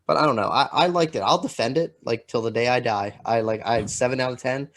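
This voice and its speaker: A man co-hosting a podcast in casual chat, talking in a halting, rambling way full of filler words. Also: medium pitch. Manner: halting, rambling delivery peppered with "like". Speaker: podcast co-host.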